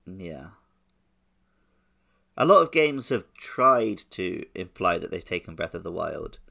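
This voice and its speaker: Low, creaky-voiced man chatting casually. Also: big pitch swings, takes it slowly, sharp tone. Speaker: podcast co-host. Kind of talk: casual chat.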